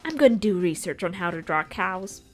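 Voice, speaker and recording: A woman's voice: lowish voice, lively intonation, brisk. A woman narrating her own art video as a casual commentary, informal and lightly self-deprecating, with a joking touch. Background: music.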